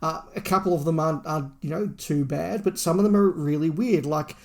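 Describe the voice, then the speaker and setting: Higher-voiced man reviewing on a podcast. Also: brisk, vocal fry, darkish tone. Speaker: podcast host. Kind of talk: book review discussion, partly reading a plot summary.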